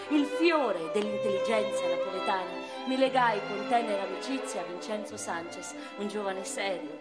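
An actress playing a passionate, literary eighteenth-century noblewoman, telling her life in an expressive, reflective radio-theatre monologue. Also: medium pitch, close to an everyday voice. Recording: music behind the voice.

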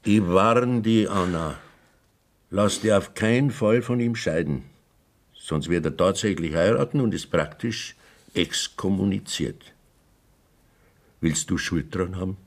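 A Bavarian village priest speaks in a fairly low voice. He is strict about doctrine yet resigned, admonishing and sighing in folksy dialect, as in a radio drama. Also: a little slow, long pauses. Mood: stern, warning. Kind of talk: dialect radio play acting.

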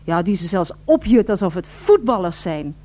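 A woman playing the narrator of a radio play, addressing listeners formally and deliberately, with wry mock solemnity. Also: lowish voice, very animated, dark tone. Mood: wry, explanatory.

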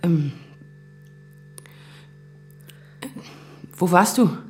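A woman's voice: lowish voice, measured pace, a little mellow, lightly acted. An actress playing a guarded, sardonic woman lawyer in her thirties in a radio drama, restrained and dry. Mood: concerned. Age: 30s. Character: guarded, sardonic 35-year-old woman lawyer, anxious daughter.

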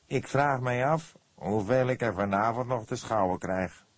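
A man voicing a small old coroner in a detective audiobook, deliberate, formal and grumbling. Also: medium pitch, no echo, voice pushed up, close to an everyday voice.

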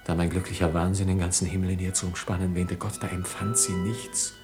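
A man's voice: sits low, level tone, fairly quick, darkish tone, faint music behind, natural voice. An actor playing a proud, betrayed young nobleman with biting, declamatory speech in a classical radio drama. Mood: stunned, anguished. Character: betrayed young nobleman officer, passionate, proud, bitterly sarcastic.

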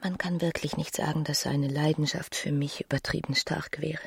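An actress playing a young, restless and disdainful wife in a brooding radio play monologue. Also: female voice, sits low, steady tone, normal speed, creaky, breathy edge, no room sound, clean studio sound, soft background music, exaggerated voice. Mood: bitter, brooding.

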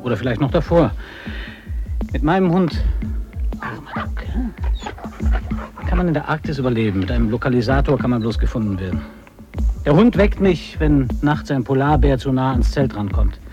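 A man acting a proud, earnest and excitable polar explorer in a radio drama, thinking aloud expressively as if interviewed. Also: mid-range voice, moderate pace, long pauses, muffled recording, over music, close to an everyday voice. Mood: earnest, animated. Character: excitable male polar adventurer, proud, earnest, devoted to his dog.

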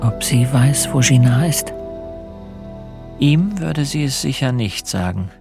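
A man narrates a radio play in a literary, scripted style. His voice is of medium pitch and slips into inner monologue, with faint music underneath. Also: animated, takes it slowly, creaky.

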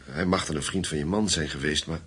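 Very deep, gravelly, grave war veteran. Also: male voice, quick pace, fairly bright tone, heavily acted. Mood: reluctant, sombre. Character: grave German war veteran, the widow's confidant. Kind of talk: radio drama acting.

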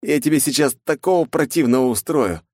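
A man's voice: medium pitch, a little fast, a little mellow, dry recording, clean studio sound, pitched above the performer's own voice, natural voice. A male narrator voicing his first-person hero, a crude, irritable young man who swears. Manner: swearing, gruff, in pain. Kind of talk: audiobook character voice.

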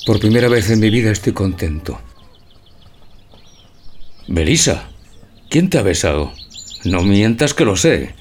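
An actor playing a gentle, naive, melancholy older husband in a theatrical radio drama, speaking tenderly and deliberately. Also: male voice, lowish voice, sing-song, a little hiss or hum. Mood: tender, contented, then jealous, anxious. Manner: tender, poetic, deliberate delivery.

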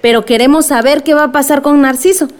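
An actress as a high-voiced, firm village activist. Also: female voice, fast, lightly acted. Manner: insistent, respectful. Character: firm, polite village woman activist questioning authority. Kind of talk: radio drama acting.

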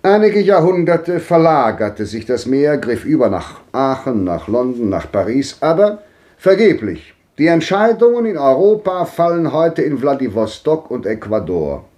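A man's voice: mid-range voice, very animated, a little slow, a little mellow. An actor playing a cultured, world-weary old man who speaks in composed, rhetorical monologue in a 1950s radio drama. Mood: resigned, measured. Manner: declamatory, rhetorical phrasing.

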